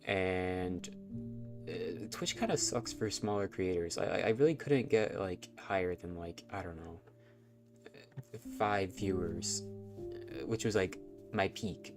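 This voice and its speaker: A man's voice: low-pitched, slow pace, music in the background. A man with a nasal, stuffy voice, rambling and self-conscious as he chats through a live game stream. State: stuffy nose from allergies.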